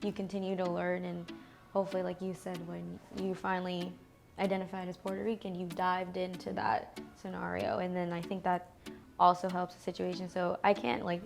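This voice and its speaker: Gravelly, flat-voiced woman, clear music. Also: fairly low pitch, fairly quick. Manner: thoughtful and hesitant, with fillers. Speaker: guest. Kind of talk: casual interview chat. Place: restaurant.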